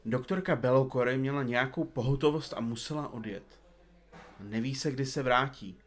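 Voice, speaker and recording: A man's voice: mid-range voice, natural voice. A man playing a pompous, absent-minded detective in an audio comedy drama, speaking in florid, formal, bookish phrases. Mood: pompous, fussy.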